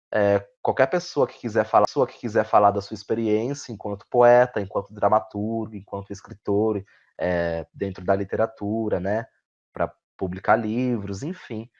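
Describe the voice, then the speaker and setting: A man's voice: lowish voice, moderate pace, a little mellow. A man with a Brazilian accent gives reflective interview answers, searching for words, repeating phrases and often ending on tag questions. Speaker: guest playwright and actor. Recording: dull, lo-fi audio.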